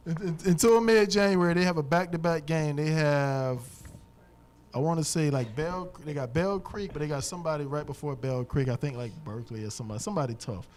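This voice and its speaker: A man hosting a live podcast from a wings restaurant, ranking high school teams in slangy, laid-back talk that often restarts mid-sentence. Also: a little fast, darkish tone. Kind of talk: casual panel chat.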